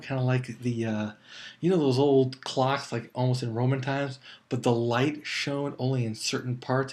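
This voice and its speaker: A man's voice: medium pitch, a little mellow. Casual, chatty man reviewing movies. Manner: chatty, rambling, informal, addressing viewers as friends. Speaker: movie review show host.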